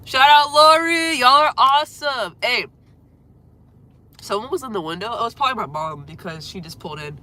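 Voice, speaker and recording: A young woman from Florida with a deep voice and a cold, chatting rambly with viewers on a phone livestream at home. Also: lively intonation, normal speed. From Florida, USA. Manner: rambling, lots of fillers, trails off quietly. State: has a cold, congested and coughing. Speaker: musician livestreaming. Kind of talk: casual livestream chat with viewers. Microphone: phone held close.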